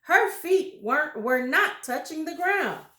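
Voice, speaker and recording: A woman reading a children's story aloud, expressive and pausing now and then to chat about the pictures. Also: high-pitched, roomy sound. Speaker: reader.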